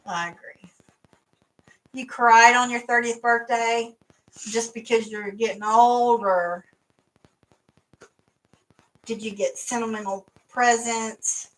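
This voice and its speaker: A woman's voice: a little high, fairly even intonation, slow pace, pauses often, fairly bright tone, distant mic, poor audio. A woman in her fifties chatting casually with family as a mother, rambling and laughing often. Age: 50s. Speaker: mother and co-host.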